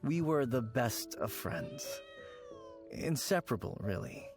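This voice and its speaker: A man voicing an anxious young man haunted by a lost childhood friend, speaking in a halting, worried, soft-spoken way, in game audio. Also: mid-range voice, sing-song, creaky, exaggerated voice. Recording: game audio with background music.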